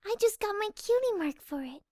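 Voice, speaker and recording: A woman voicing a shy, gentle young female pegasus pony in a fan audio drama, timid, hesitant and soft-spoken. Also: very high-pitched, lively intonation, a little fast, no echo, clean studio sound. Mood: bashful.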